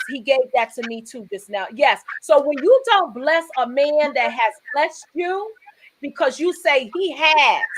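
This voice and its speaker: A woman with a high-pitched voice, hosting a casual talk show and speaking in an emphatic, preachy, rambling way with frequent restarts. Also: a little hiss or hum, low-quality recording.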